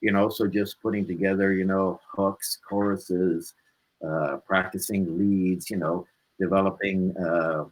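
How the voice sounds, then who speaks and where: Guy musician on a talk show, lowish voice, loose rambly casual chat. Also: steady tone, measured pace, poor audio.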